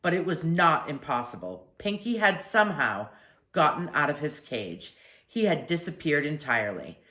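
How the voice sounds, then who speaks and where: An older woman reading a children's story aloud expressively, with deliberate phrasing and drawn-out emphasis. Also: deep voice, very animated, pushed and bright, reverberant.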